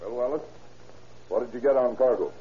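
Man playing a muffled, brisk radio police captain. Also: mid-range voice, lightly acted. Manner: clipped, authoritative. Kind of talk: old-time radio crime drama acting. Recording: dull, noisy vintage radio recording.

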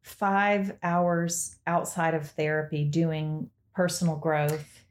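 A woman's voice: sits low, fairly even intonation, takes it slowly. A woman therapist talking in an encouraging, reflective way. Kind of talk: casual conversation.

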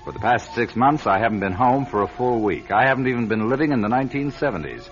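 A man's voice: medium pitch, lively intonation, fast talker, natural voice. An actor playing a driven male network journalist who narrates his story in an earnest, urgent way, in a radio drama. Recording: muffled old radio broadcast with music.

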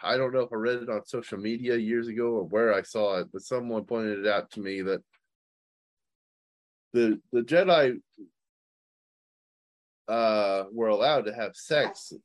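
Rambling male podcaster, fairly low and dry. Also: measured pace, long pauses, no echo. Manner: rambling, tentative. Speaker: podcast co-host. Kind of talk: casual podcast chat. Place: online video call.

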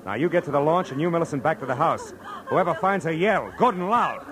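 A man's voice: a little high, expressive, brisk, close to an everyday voice. A man acting a terse, wry American private detective in a 1940s radio drama. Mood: urgent. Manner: terse, dry, wisecracking. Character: hard-boiled, wry, commanding male private detective. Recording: noisy old radio recording.

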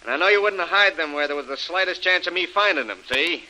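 A man's voice: fairly high pitch, expressive, quick pace, sharp tone, rough recording. An actor playing a menacing gang boss with sneering tough-guy threats, in an old-time radio adventure serial. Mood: cold menace. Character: menacing male gang boss bullying a captive woman. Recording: hissy vintage radio recording.

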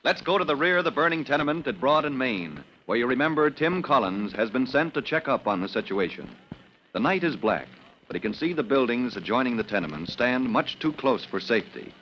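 A man's voice: mid-range voice, very animated, brisk. Male American announcer, wide swooping delivery, melodramatic, stentorian, vintage radio narration, muffled noisy recording. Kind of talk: dramatic old-time radio narration.

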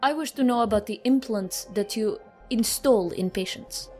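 A woman's voice: a little high, moderate pace, warm tone, soft background music, lightly acted. An actress playing a formal, direct woman investigator who questions probingly in a science fiction audio drama. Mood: formal, inquiring.

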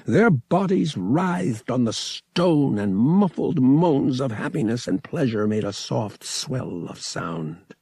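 A man narrating fiction as an audiobook reader, with an expressive, theatrical storytelling manner. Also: mid-range voice, takes it slowly, creaky, few pauses, breathy edge, no echo, clean studio sound. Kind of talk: dramatic scripted fiction narration.

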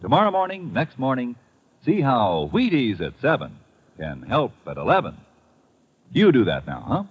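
A man's voice: mid-range voice, huge pitch swings, moderate pace, background noise. An American man delivering a folksy, chatty and emphatic 1950s radio advertisement straight to the listener. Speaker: commercial pitchman. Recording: muffled vintage radio recording.

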